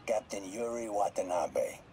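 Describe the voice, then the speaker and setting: A man's voice: on the low side, lively intonation, measured pace, mellow, slight background noise. A man voicing a male mob enforcer who speaks coldly and threateningly. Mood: menacing. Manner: cold, threatening.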